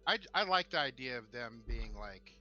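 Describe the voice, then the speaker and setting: A man in casual podcast discussion, picking his words in a halting, thoughtful way. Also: mid-range voice, lively intonation, bright, forceful tone. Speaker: podcast co-host. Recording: dull lo-fi mic, music behind.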